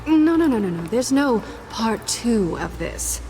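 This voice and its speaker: An actress playing a sarcastic, defiant cat burglar in a video game. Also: female voice, on the high side, very animated, unhurried, dark tone, slight background noise. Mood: panicked. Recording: game score under the voice.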